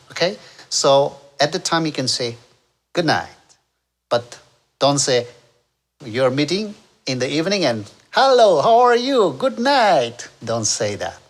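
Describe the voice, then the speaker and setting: A man with a Nepali accent teaches an English lesson at an unhurried pace, going over phrases one by one. He lifts his voice on each example sentence, then drops back to explain it. His tone stays patient and steady, like a teacher talking to a class. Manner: slow, patient, repeats examples with rising pitch on quoted phrases.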